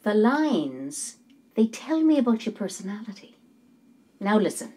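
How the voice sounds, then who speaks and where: A woman's voice: medium pitch, very animated, warm tone. An older actress playing a gushing, theatrical palm reader in a film, who draws her words out dramatically. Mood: knowing, teasing. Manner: dramatic, calls people darling, drawn-out delivery. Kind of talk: film acting.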